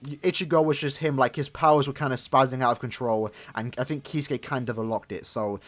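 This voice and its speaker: Fast-talking man in his twenties. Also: mid-range voice, steady tone. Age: 20s. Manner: chatty and rambling, addresses viewers as lads. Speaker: gaming YouTuber. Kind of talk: casual gameplay commentary.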